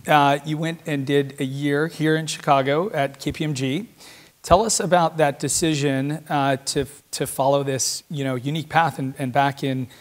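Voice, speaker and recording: A man in his thirties moderates a fireside chat on an auditorium stage, using a lapel mic. His voice sits a little high. His questions are polished, with a few fillers. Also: steady tone, normal speed.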